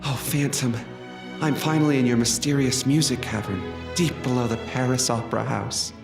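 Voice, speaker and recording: A man's voice: mid-range voice, fairly even intonation, moderate pace, creaky, over music, close to an everyday voice. Earnest, theatrical Christine played by a man. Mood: breathless romance. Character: eager, businesslike young soprano Christine in opera cavern. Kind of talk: audio sketch comedy acting.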